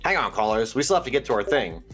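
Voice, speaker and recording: An actor playing an eccentric, conspiracy-minded late-night radio host in an audio drama, theatrical, folksy and rambling. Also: male voice, medium pitch, big pitch swings, fast, a bit bright, faint music behind. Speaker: radio call-in show host.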